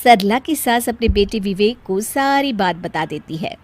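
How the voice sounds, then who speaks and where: A woman's voice: medium pitch, animated, unhurried. A woman narrator reading a scripted story in a storytelling style.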